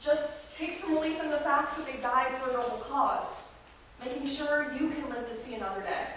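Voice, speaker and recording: A woman's voice: high voice, normal speed, close to an everyday voice. A woman playing a feisty, blunt but caring young-show character in a staged reading, emphatic and earnest. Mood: earnest, consoling. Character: feisty, blunt yet consoling resident of troubled TV street. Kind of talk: theatrical stage acting. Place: staged reading in an echoey room. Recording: echoey room, distant mic, faint noise.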